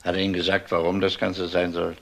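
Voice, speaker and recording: Deep-voiced, probing police detective. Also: male voice, completely flat delivery, fast, gravelly, heavy background noise. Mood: insistent. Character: police detective, probing, methodical, world-weary man. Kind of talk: German TV crime drama dialogue.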